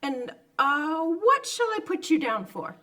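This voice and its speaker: A woman's voice: high voice, sing-song, takes it slowly, sharp tone, far from the mic, exaggerated voice. A woman playing a polite, earnest genteel lady raising funds, speaking formally in old-time radio drama style. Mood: earnest, persuasive. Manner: courteous, formal, persistent.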